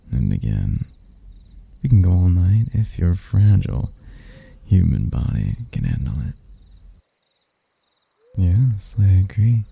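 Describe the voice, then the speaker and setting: Man playing a gravelly, very deep seductive demon. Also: mellow, full character voice. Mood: hungry, possessive. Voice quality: gravelly, husky. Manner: smooth-talking, teasing, slow and deliberate. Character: seductive, smug male demon in human form, mocking hunter. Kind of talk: intimate ASMR roleplay.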